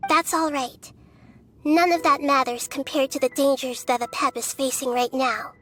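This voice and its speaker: A woman voicing a young goddess in a child's form in a video game, calm, soft-spoken and resolute. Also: high-pitched, moderate pace.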